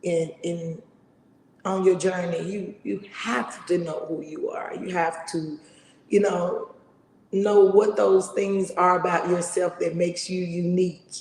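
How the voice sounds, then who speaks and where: Loud, emphatic middle-aged woman, echoey room. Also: on the low side, steady tone, slow pace. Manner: loud, big-voiced and emphatic. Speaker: podcast host. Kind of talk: motivational casual talk. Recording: echoey room with long reverb.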